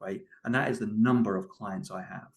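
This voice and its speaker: A Scottish man with a fairly low voice speaks as a business founder being interviewed. He talks conversationally but with emphasis, repeating key points and checking in as he goes. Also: steady tone, brisk, vocal fry. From Scotland. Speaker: guest, tech company founder and CEO.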